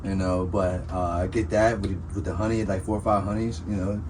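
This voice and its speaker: A man with a raspy, low voice hosts a relaxed talk, rambling along with plenty of "you know" and "um". He sits a little back from the microphone, with faint room noise behind him. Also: a little fast, distant mic. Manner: laid-back, rambling, lots of fillers. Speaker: podcast host. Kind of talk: casual conversation.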